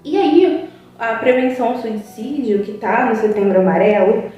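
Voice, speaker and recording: A young woman, a psychologist, talks in a mellow, fairly high voice, earnest and reflective, often pausing on a drawn-out filler. She sits back from the mic in a strongly echoing room with faint music underneath. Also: far from the mic, lo-fi sound. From Brazil. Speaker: psychologist guest. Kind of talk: informal spoken talk to a web TV channel.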